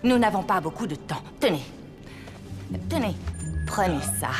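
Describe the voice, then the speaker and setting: Commanding but kindly cartoon magical helper female actor, animated mid-range voice over a musical score. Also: mid-range voice, unhurried, lightly acted. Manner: assured, directive. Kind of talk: animated children's cartoon dubbing. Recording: music playing behind the voice.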